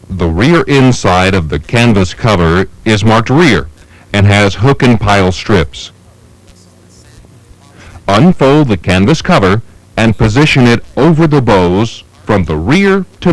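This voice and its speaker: A man with a fairly low voice narrates a training film. He gives scripted instructions methodically, one step at a time, with very varied intonation. The sound is dry, with little room around the voice. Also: no echo, rough recording. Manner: measured, methodical, step-by-step delivery.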